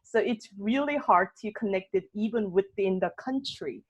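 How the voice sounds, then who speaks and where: A woman's voice: mid-range voice, moderate pace. Woman, moderator, casual, filler-heavy, video call. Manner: chatty, many fillers, laughs often. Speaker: workshop moderator. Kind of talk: casual discussion hosting.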